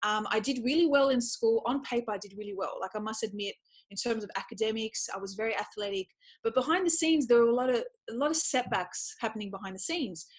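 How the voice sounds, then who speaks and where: High-voiced, chatty Australian woman. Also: fast, rough recording. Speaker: podcast guest, speaker and former PE teacher. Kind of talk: casual conversational interview.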